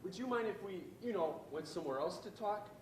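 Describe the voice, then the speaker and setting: An awkward, nervous teen boy on a date acted by a young man. His pitch jumps around a lot, and the stage recording is distant and echoey. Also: lively intonation, brisk, a little mellow, a little hiss or hum. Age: young adult. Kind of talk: amateur stage play acting.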